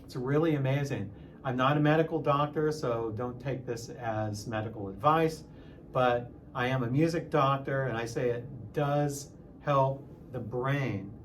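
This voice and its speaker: A man of sixty talking casually in a personal vlog, halting at times and repeating phrases for emphasis. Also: mid-range voice, a little hiss or hum. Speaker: music teacher and channel host.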